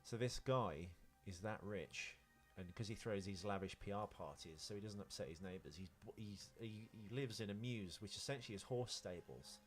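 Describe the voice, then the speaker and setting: A man's voice: lowish voice, normal speed, hardly any pauses, faint music behind. A British man hosting a podcast, telling rambling anecdotes in an informal, sweary, self-interrupting way. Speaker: podcast host. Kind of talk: casual chat, rambling anecdotes.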